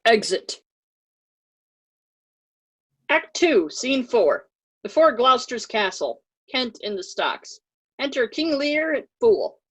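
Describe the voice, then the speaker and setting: Husky woman reading stage directions. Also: on the high side, very animated, slow, long pauses. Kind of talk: scripted narration.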